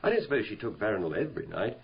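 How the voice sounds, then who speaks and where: An actor playing a brisk, opinionated doctor in a radio drama, blunt and matter-of-fact. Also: male voice, on the low side, very animated, creaky, slightly rough, full character voice. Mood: dismissive. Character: brisk, opinionated male doctor, dryly dismissive. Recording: dull, muffled radio drama recording.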